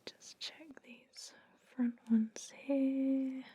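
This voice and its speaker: A woman playing a soothing, sly vampire dentist in an ASMR roleplay, speaking gently and deliberately in a breathy whisper. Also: sits high, flat delivery, full character voice. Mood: soothing, focused. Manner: slow, gentle, deliberate, softly reassuring.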